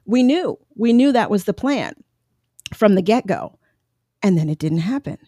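A woman's voice: mid-range voice, animated, moderate pace, warm tone, no room sound, studio quality. A woman in her forties telling her own adoption story in a candid, reflective way, with many fillers and restarts. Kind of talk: personal storytelling monologue.

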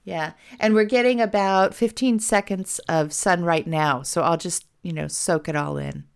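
Chatty woman podcast host, a bit hoarse. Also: fairly low pitch, moderate pace. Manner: chatty, animated, self-deprecating. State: hoarse from a cold. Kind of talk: casual podcast chat.

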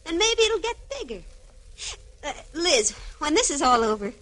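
A woman's voice: high voice, very animated, normal speed, fairly bright tone. A woman playing a brassy young chorus girl in an old radio drama, slangy, petulant and gushing. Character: brassy young chorus girl who craves champagne and minks. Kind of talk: 1940s-50s radio acting. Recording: noisy old radio recording with clicks.

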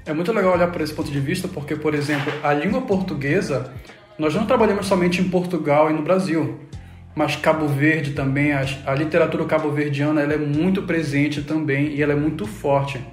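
A man's voice: on the high side, brisk, soft background music. Emphatic male teacher, echoey room. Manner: emphatic, lecturing to students. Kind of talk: educational panel discussion.

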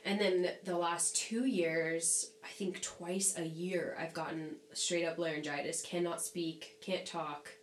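A young adult woman, a singer, chatting casually as a podcast guest, slightly nasal from a recent sickness, animated and quick to laugh. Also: fairly low pitch, echoey room, slight background noise. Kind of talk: casual conversation.

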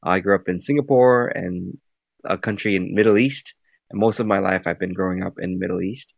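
Korean male guest, low and gravelly, halting answers on a dull mic. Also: no room sound. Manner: thoughtful, many fillers and restarts. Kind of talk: interview answers.

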